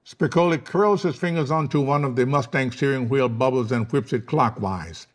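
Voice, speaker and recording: A man's voice: mid-range voice, normal speed. A man reads screenplay stage directions aloud as narrator. His voice sits in a middle register, delivering scripted scene descriptions.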